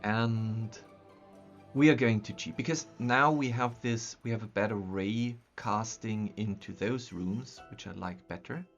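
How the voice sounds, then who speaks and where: A young Austrian man speaks English with a German-speaking accent, thinking aloud as he works through a map live. His talk is loose and halting, full of ums and restarts. The sound is a bit dull, with soft music underneath. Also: fairly low pitch. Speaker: streamer building a map. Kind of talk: casual live-stream chat. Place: small room at a desk, indoors.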